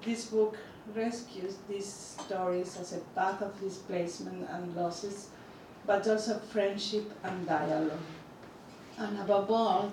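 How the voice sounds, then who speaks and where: A woman presenting a book with formal, measured prepared remarks. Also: mid-range voice. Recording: echoey room from a distant mic, noisy.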